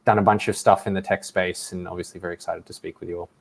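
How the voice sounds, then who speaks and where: A low-pitched man speaking with a mild Australian accent, relaxed and talkative. He is heard over a video call and often pauses with ums and restarts. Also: vocal fry. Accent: Australian, softened by years in the US. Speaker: guest. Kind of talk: casual interview answers.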